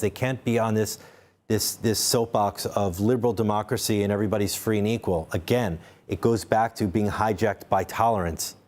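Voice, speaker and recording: A man's voice: on the low side, a little mellow. A man speaking as a guest in a studio interview, restarting words often and then pressing his points with force. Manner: repeats words while searching for them, then speaks emphatically. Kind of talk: unscripted interview talk.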